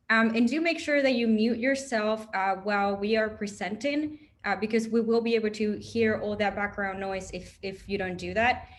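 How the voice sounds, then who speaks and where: Upbeat, chatty woman on a video call. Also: mid-range voice. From Chile. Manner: upbeat, chatty, many fillers. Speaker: host, operations manager. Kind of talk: online info session hosting.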